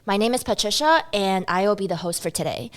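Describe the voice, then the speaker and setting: A woman's voice: medium pitch, a little fast. Young woman from taiwan hosting a chill podcast, says like a lot. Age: 20s. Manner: relaxed, uses "like" a lot. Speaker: podcast host. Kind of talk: casual chat.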